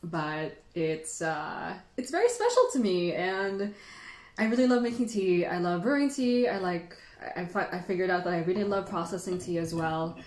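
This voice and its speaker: A woman's voice: fairly low pitch, expressive, normal speed, darkish tone. Sentimental woman livestreamer in an echoey room. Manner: sentimental, chatty, giggly. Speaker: livestream host and tea teacher. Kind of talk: casual livestream chat.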